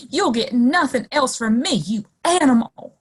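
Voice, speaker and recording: A woman plays a wronged Old West saloon woman with plenty of fight. Her voice is fairly high, sassy and scornful, in radio-drama style. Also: expressive, slow pace, husky, full character voice. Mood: indignant. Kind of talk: audio play acting, western.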